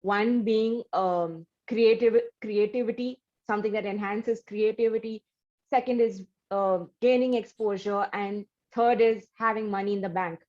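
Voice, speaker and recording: A woman's voice: mid-range voice, fairly even intonation, unhurried, dull audio. A woman hosting an interview, effusive and given to restarts and fillers. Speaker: interview host.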